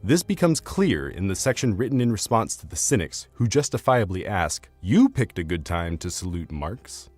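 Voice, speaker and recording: A man's voice: low voice, sing-song, normal speed, hardly any pauses, darkish tone, breathy edge, dry recording, soft background music. A man reading scripted narration in a formal, argumentative, bookish manner. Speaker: video essay narrator.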